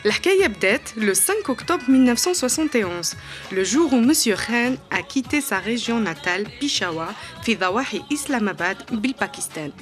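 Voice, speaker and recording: A woman's voice: fairly high pitch, quick pace, soft background music. A woman reporter narrating a scripted voice-over for a television segment. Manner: lively, animated narration.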